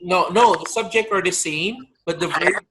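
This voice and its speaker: A man teaching an online English grammar lesson, slowly drilling verb forms pronoun by pronoun over a video call. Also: high voice, noisy background.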